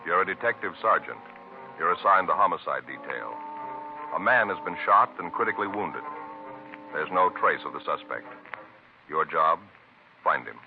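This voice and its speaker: American male announcer, 1950s radio style, emphatic, lowish voice, muffled narrow-band recording with music. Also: expressive, moderate pace, creaky, pauses often. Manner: emphatic, formal. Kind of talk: 1950s radio announcing, dramatic scripted intro and ad read.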